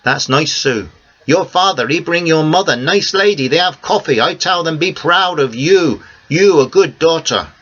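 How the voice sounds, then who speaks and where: A man voicing an old cafe owner, friendly and excitable, speaking broken English with lots of "ah" and "eh". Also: on the high side, normal speed, voice pushed up. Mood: eager. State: a bit out of breath. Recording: dull lo-fi recording.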